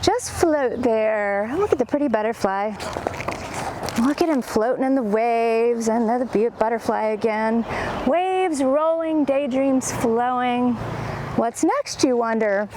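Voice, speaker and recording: Very high-pitched, lively woman reading to children. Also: a little slow, background noise. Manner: expressive, enthusiastic, exclaiming. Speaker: children's librarian, storytime host. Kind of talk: animated read-aloud storytime for young children, with chatty asides.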